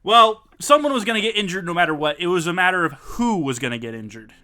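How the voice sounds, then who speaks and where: A man hosting a casual live stream with friends, animated and quick to laugh, sometimes reading haltingly. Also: sits high. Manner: animated, laughs often, reads aloud haltingly. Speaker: streamer and host.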